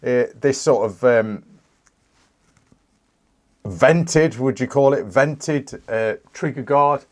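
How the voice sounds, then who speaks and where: Croaky British man reviewing a rifle. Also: mid-range voice, slow. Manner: rambling, with many fillers and restarts. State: croaky, coming down with a cold. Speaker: firearms reviewer. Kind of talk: casual product review.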